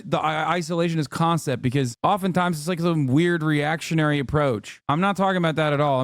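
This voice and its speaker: A fiery male streamer with an American accent, born in Turkey and a native Turkish speaker, goes on a profane political rant. His voice sits a little high, and the recording is close and dry with no room sound. He talks casually, with emphatic bursts. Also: no echo. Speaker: livestream host reacting to news clips.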